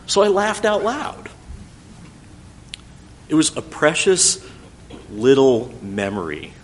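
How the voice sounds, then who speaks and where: A middle-aged man whose first language is French, telling a thoughtful, gently wry personal story in English. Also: medium pitch, a little hiss or hum.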